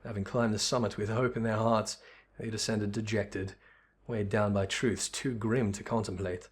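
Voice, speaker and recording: A man voicing a solemn, nostalgic storyteller in a fantasy game, with measured, literary, wistful delivery. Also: fairly low pitch, level tone, husky, pitched below the performer's own voice. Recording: music behind the voice.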